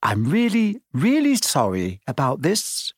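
A man's voice: on the high side, wildly swooping pitch, unhurried, breathy edge, dry recording, studio quality, voice pushed up, exaggerated voice. A man voicing an earnest, anxious and kindly schoolgirl with a pleading manner in a storybook reading. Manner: apologetic, pleading.

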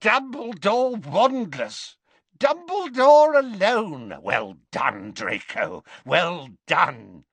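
A man voicing a gleeful villainous henchman in an audiobook, crowing in a drawn-out, excitable way. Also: high voice, slightly rough, dry recording, pitched above the performer's own voice, exaggerated voice. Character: gleeful, wheezy, lumpy male henchman villain.

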